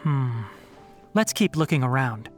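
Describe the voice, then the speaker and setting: An actor playing a composed, analytical young male ranger in a video game, reasoning aloud in a measured, thoughtful way. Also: medium pitch, expressive, soft background music, close to an everyday voice. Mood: pensive, analytical. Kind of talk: video game character dialogue.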